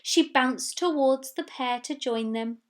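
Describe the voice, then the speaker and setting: A woman's voice: sits high, measured pace. A woman reading a children's storybook expressively, with dramatic delivery of sound words. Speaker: story reader.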